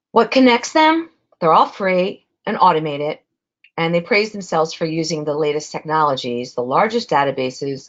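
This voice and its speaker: A woman's voice: sits low, dull audio. A woman with a Spanish accent giving a webinar presentation in a conversational way, with frequent fillers.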